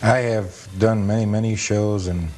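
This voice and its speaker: A man's voice: lowish voice, steady tone, measured pace, darkish tone, slight background noise. A man speaking as an interview guest, explaining things plainly like an enthusiast narrating old film. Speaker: guest author and amusement park enthusiast. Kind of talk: interview answers and film commentary.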